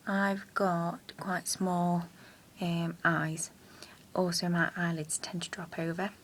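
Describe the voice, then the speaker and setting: A young woman with a croaky voice, chatting casually through a makeup tutorial at home with hesitant fillers. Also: lowish voice, little pitch movement, slow, fairly bright tone, slight background noise. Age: young adult. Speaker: makeup vlogger. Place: small room at home, indoors. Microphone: built-in camera mic at close range.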